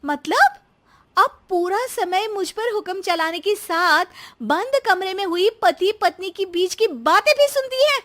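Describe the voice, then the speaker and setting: High-pitched, exasperated young daughter-in-law. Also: female voice, expressive, measured pace, a bit bright, heavily acted. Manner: dramatic, whiny complaining. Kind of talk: acted dialogue in animated moral story.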